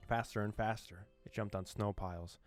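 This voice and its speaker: A man's voice: lowish voice, fairly even intonation, moderate pace. A man hosting a let's play, commenting casually in slangy asides and reading game text aloud. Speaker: gaming channel host. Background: game music.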